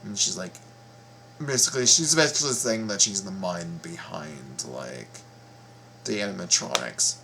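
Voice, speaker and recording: A man giving casual reaction commentary, explaining things in a halting, repetitive way. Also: sits low, vocal fry, over music. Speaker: reaction video host.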